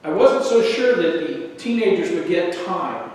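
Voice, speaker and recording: A man with a tenor voice evaluating a speech at a club meeting, measured and encouraging. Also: sits high, expressive, darkish tone. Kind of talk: spoken feedback. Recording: echoey hall from far off.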